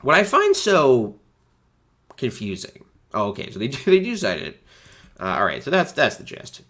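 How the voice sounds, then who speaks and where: A man's voice: mid-range voice, wildly swooping pitch, moderate pace, fairly bright tone. A man hosting a casual commentary, making sarcastic asides. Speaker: host.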